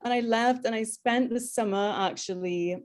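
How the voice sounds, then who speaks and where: A woman's voice: fairly high pitch, normal speed. A woman, speaking as the guest on a video call and telling her life story in a chatty way, with many ums and restarts. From Trinidad. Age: 30s. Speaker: guest speaker, airline pilot. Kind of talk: personal story talk.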